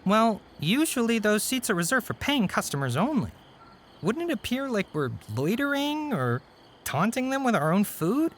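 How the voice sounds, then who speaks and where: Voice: mid-range voice, sing-song, moderate pace, a little hiss or hum, natural voice. An actor playing a hapless, scheming young male vampire suitor in a comedy horror audio drama, with coaxing, theatrical delivery. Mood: doubtful.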